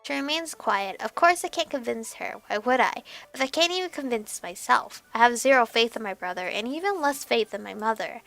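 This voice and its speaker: A woman reading a visual novel aloud as expressive narration, with animated reactions and some stumbling over words. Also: fairly high pitch, brisk, gravelly, hardly any pauses, dry recording. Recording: background music behind voice. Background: game music.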